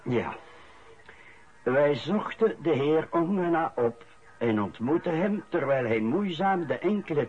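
An actor playing a man reading the newspaper aloud in a radio drama. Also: mid-range voice. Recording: dull old radio recording.

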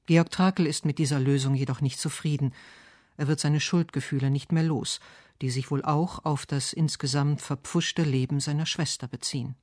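A man reading scripted literary narration and verse for radio, measured and articulate. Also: on the high side, fairly even intonation, a little mellow, no room sound, clean studio sound. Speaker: radio essay narrator and poetry reader.

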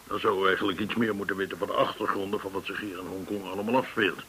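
An actor playing a courteous, shrewd, well-connected informant in a Dutch radio drama, speaking in a formal, deliberate way. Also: male voice, low-pitched, fairly even intonation, a bit bright. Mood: measured explaining. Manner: formal, measured, deliberate explaining. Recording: hissy old radio recording.

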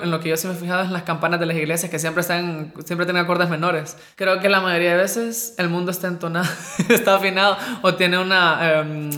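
A man's voice: sits high, pushed and bright. A man with a Honduran accent speaks in a casual, reflective interview style. His voice is recorded in a roomy space with noticeable echo. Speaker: interviewee, countertenor singer. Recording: echoey room interview.

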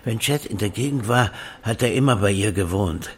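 An actor playing a brash friend who talks bluntly and coarsely, often mocking. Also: male voice, fairly low pitch, moderate pace, a little hiss or hum, natural voice. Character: brash male friend, blunt and rough-talking. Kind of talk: audio drama acting.

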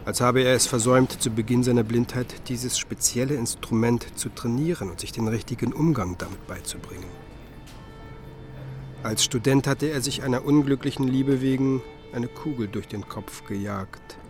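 A reflective male scholar played by an actor narrates a radio play in a measured way. His medium-pitched voice recalls the past over clearly audible music. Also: natural voice. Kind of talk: literary radio drama narration.

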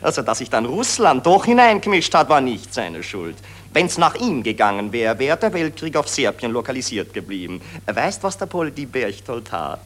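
An actor with a Viennese accent playing a smug, excitable upper-class war optimist in a 1940s German radio play, with an affected, gushing, blasé drawl. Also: male voice, medium pitch, normal speed. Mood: gushing admiration. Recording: vintage 1940s radio recording.

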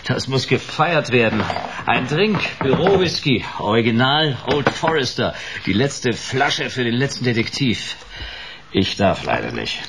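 An actor playing a world-weary, hard-boiled private detective in a noir radio play, laconic and sardonic. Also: male voice, mid-range voice, sing-song, measured pace, noisy background. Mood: wry, casual. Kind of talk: noir radio play with first-person narration.

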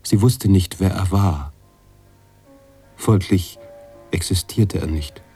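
A man playing a brooding, contemplative narrator-author in a literary radio drama, speaking deliberately with pauses between phrases. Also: low voice, warm tone, husky, soft background music, full character voice. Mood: somber, reflective. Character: brooding male narrator-author, contemplative, troubled by his heroine.

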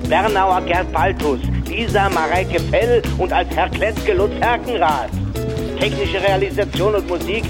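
A man's voice: high-pitched, a little slow, music in the background. A man reading the closing credits of a radio play as a scripted announcement.

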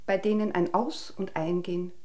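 An actress playing a scornful jilted mistress in a German radio drama, pointed, insinuating and coolly menacing. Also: female voice, on the low side, fairly even intonation, moderate pace, mellow, lightly acted. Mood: veiled threat.

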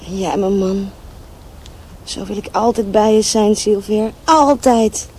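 A woman's voice: mid-range voice, slow, darkish tone. An actress playing a devoted, spirited young teenage girl in a radio drama, with a girlish, eager manner. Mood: affectionate. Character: devoted, spirited thirteen-year-old village girl in love. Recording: radio play with light hiss.

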